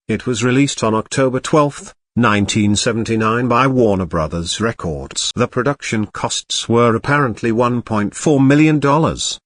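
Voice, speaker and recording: A man presents a talk show in a scripted hosting style. His mid-pitched voice moves up and down in an animated way. The sound is dry, with very little room in it. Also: no echo. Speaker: show host.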